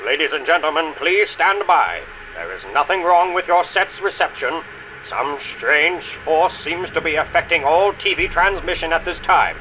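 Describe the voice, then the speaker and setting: A man playing a formal 1960s television announcer with measured broadcast delivery in a comic book dramatization. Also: a little high, animated, bright, forceful tone, slight background noise, poor audio.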